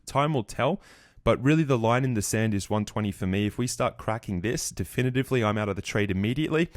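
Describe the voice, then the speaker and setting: A man giving a market recap over his trading charts, a bit croaky, walking through trades confidently. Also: fairly low pitch, dry recording, studio quality. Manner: fast, confident chart walkthrough. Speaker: trading educator.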